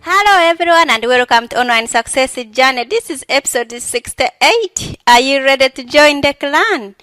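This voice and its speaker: A woman hosts an interview podcast, giving short, friendly prompts to her guest. Her voice is bright and clear, a little higher than most, and recorded dry and close. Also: lively intonation, moderate pace, no echo, soft background music. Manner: brief prompts and friendly questions. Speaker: podcast host. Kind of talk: interview hosting.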